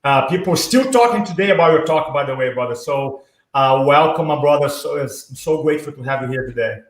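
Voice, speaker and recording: Enthusiastic man in an echoey room. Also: fairly high pitch. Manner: enthusiastic, praising, halting with "uh" fillers. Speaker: speaking coach and host. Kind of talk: interview over video call.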